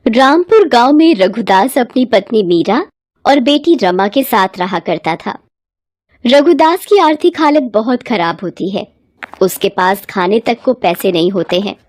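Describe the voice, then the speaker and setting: A woman's voice: on the high side, animated, unhurried, a little mellow, dry recording, clean studio sound. A woman narrating a scripted moral bedtime story as its storyteller.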